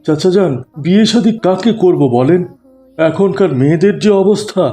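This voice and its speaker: Wayward twenty-something for Islamic cartoon, male actor: soft mellow voice, hesitant, respectful, doubtful. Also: high voice, normal speed, natural voice. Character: sheepish wayward young man, twenty-five, skeptical about marriage.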